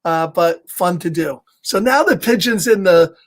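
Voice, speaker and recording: A man's voice: high-pitched, a little slow. A middle-aged man telling a children's story, with casual chatty asides and a playful, encouraging, simple way of talking.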